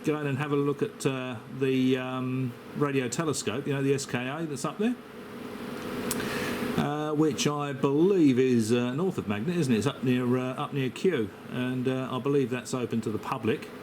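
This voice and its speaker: A man chatting casually as an amateur radio operator, rambling with hesitant pauses, picked up by a handheld camera near his radio. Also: mid-range voice, fairly even intonation, background noise. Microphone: camera microphone held near the operator.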